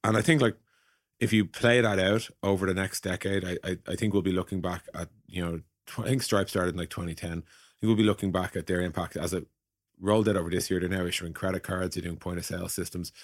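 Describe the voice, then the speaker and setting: A man's voice: deep voice, fairly even intonation, fast talker, dry recording, clean studio sound. A man hosting a casual tech podcast discussion in an informal, joking manner. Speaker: podcast host.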